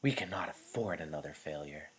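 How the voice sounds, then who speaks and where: A man's voice: a bass voice, husky, low-quality recording. A man voicing a gracious, commanding female chief elder who addresses a crowd formally in an audiobook reading. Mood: solemn. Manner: formal, measured, solemn.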